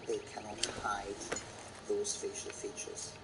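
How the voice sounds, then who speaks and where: A man's voice: fairly low pitch, monotone, measured pace, gravelly. A man speaking as a surgeon in consultation, explaining things with emphatic repetition. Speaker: plastic surgeon. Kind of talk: professional consultation talk. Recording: very loud background noise.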